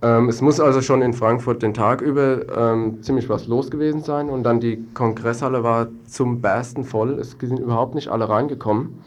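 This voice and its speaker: A young male student activist answers interview questions in a medium-pitched voice with very little melody. He speaks spontaneously, often stalling mid-phrase before carrying on. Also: steady tone, moderate pace, few pauses. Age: young adult.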